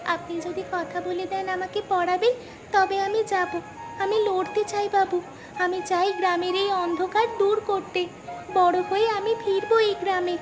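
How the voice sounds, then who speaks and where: A woman's voice: very high voice, flat delivery, a little slow, music in the background. A woman playing a poor, brave orphaned village girl in a cartoon drama, earnest and polite. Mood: determined. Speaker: cartoon character voice.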